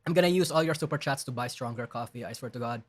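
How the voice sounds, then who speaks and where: A man's voice: medium pitch, quick pace. A man live-streaming, reading a document aloud and rebutting it in an emphatic, argumentative way, often restarting his sentences and swearing bluntly. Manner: blunt and profane.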